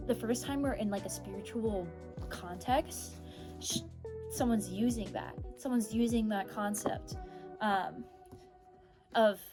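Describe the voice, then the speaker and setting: A young woman vlogging about a book chapter in a casual, rambling, animated way, with a swear word here and there. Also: on the high side. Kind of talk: casual chat.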